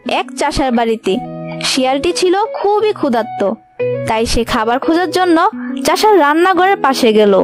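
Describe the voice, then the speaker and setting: A woman with a high-pitched voice tells a scripted children's story as its narrator. Music plays clearly underneath her reading. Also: sing-song, normal speed.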